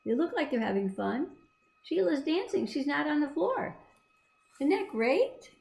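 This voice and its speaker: A woman's voice: sits high, very animated, a little slow, gravelly, darkish tone, a little hiss or hum. A woman reading and explaining a storybook to children in a simple, encouraging, teacherly manner. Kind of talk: read-aloud with explanatory asides to children.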